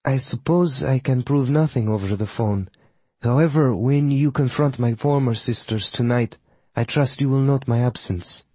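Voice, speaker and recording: A man's voice: medium pitch, moderate pace, dark tone, husky, dry recording, pitched above the performer's own voice, natural voice. A man voicing a proud female witch who leads a coven, speaking with a Polish accent in a formal, clipped, disdainful way. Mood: cold.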